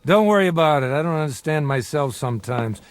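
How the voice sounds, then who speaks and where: An actor playing a world-weary, middle-aged small-time bookie, wisecracking with breezy bravado in a radio crime drama. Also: male voice, on the high side, animated, fairly quick, no echo, close to an everyday voice.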